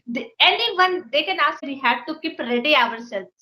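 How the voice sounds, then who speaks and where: High, bright-voiced young Indian woman. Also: lively intonation, normal speed. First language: Maithili. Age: 20s. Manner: chatty, often says "ma'am", laughs while talking. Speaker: schoolteacher. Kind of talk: casual conversation practice.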